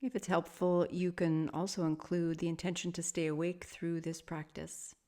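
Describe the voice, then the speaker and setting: A woman teaching guided sleep meditation, speaking softly and soothingly in measured phrases with long pauses between them. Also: lowish voice, little pitch movement. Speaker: yoga nidra meditation teacher.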